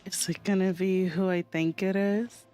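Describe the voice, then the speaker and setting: A woman game streamer giving casual, expressive reaction commentary and thinking aloud as she plays. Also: mid-range voice, moderate pace. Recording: game music behind.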